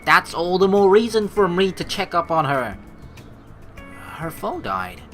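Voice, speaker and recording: Low-voiced rambling let's play woman. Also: big pitch swings, unhurried, soft background music. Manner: casual, rambling, self-correcting. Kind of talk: reading a visual novel aloud with chatty asides.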